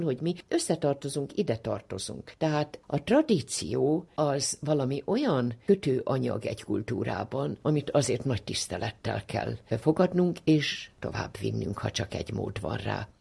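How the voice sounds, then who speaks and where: A woman's voice: very deep voice, vocal fry, mellow, breathy edge. An older woman speaking as an academic expert, explaining in an emphatic, didactic way. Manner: emphatic, didactic, repeats phrases for weight. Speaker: psychologist, university professor. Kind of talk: expert explanation in a radio interview.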